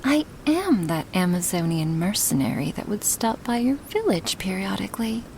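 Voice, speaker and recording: A woman playing a huge, gentle and affectionate female dragon in an ASMR roleplay, speaking softly and intimately to the listener in formal wording, often slipping toward a whisper. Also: mid-range voice, sing-song, moderate pace, vocal fry, slight background noise. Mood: amused, revealing.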